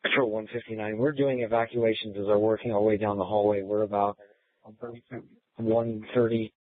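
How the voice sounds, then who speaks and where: Lowish male officer on tinny radio. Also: level tone, normal speed, dry recording. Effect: police radio. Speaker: police officer. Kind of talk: police radio traffic. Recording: tinny narrow police scanner radio.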